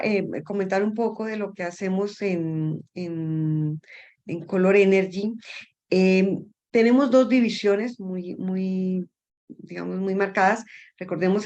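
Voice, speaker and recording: A woman's voice: medium pitch, lo-fi sound. A middle-aged woman talking casually as an interview guest, often searching for words and repeating them. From Colombia. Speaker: interview guest, energy company founder.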